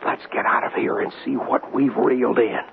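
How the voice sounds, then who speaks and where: An actor playing a stubborn American city police sergeant in a 1970s radio drama. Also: male voice, medium pitch, fairly even intonation, normal speed, creaky, close to an everyday voice. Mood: brisk, determined. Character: stubborn male city police sergeant following his hunches. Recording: muffled, hissy old radio broadcast.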